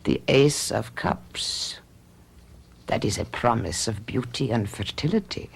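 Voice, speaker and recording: An actress playing a solemn, commanding satanic tarot reader, portentous and incantatory, in a radio drama. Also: female voice, extremely low pitch, expressive, takes it slowly, gravelly, breathy edge, heavily acted. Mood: solemn, ominous.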